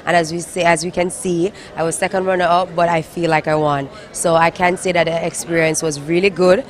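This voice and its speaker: Young woman, hoarse, raspy, gracious, flat delivery. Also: fairly low pitch, a little fast. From Jamaica. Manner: gracious, grateful answers. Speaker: pageant contestant being interviewed.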